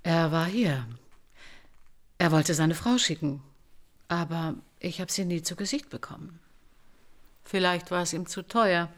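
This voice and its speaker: Low-voiced, tough fifty-ish fortune-teller woman played by an actress. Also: unhurried, pauses often, close to an everyday voice. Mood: wary, blunt. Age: 50s. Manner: blunt, guarded, streetwise. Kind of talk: 1990s radio crime drama acting.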